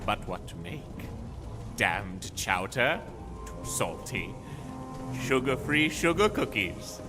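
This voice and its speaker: A man voicing a fussy, theatrical villainous sorcerer with a pompous, deliberate delivery, in long reverb under music. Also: lowish voice, big pitch swings, full character voice. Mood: pompous, sinister.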